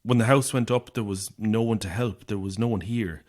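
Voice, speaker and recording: A man's voice: on the low side, fairly even intonation, moderate pace, a little mellow, lightly acted. An actor playing an anxious, isolated young Irish man, edgy and sardonic, in a naturalistic audio horror drama. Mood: defensive, flustered.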